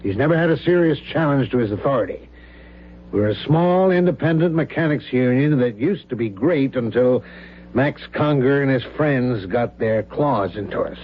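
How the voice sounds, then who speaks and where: Determined union mechanic, plain-spoken, mid-pitched, old radio play, lo-fi. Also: male voice, animated, moderate pace, slightly rough. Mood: resolute, worried. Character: determined, principled union mechanic, a stubborn family man. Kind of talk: vintage radio drama acting. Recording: dull old radio recording with hum.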